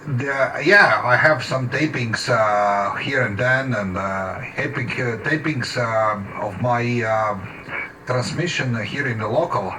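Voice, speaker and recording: A man chatting haltingly as an amateur radio operator in his home shack. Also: medium pitch. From Slovenia. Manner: halting, hesitant phrasing. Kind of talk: casual radio chat. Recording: distant mic, faint shack noise.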